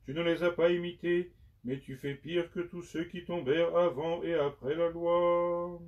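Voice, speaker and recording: A man giving a slow, solemn liturgical recitation of penitential prayers in measured, prayerful phrases. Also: a little high, steady tone. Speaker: liturgical reader. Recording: dull sound from far off the mic.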